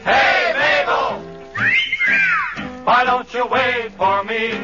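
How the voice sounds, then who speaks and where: A man's voice: extremely high pitch, steady tone, extremely slow, over loud music. A man singing close-harmony novelty numbers in a 1940s vocal group. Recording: muffled old radio recording.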